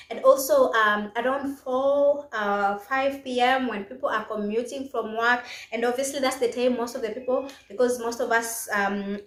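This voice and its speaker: A woman with a Kenyan accent giving casual, instructional career advice as a video host, stressing her points emphatically. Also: on the high side. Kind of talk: casual instructional vlog talk. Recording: echoey, roomy sound.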